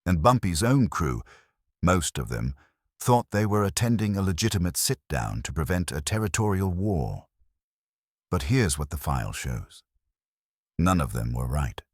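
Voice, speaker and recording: A man delivers scripted true-crime narration in an extremely low, husky voice. He phrases it in short, dramatic storyteller beats with a lively melody. The recording is dry and close. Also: unhurried, dry recording.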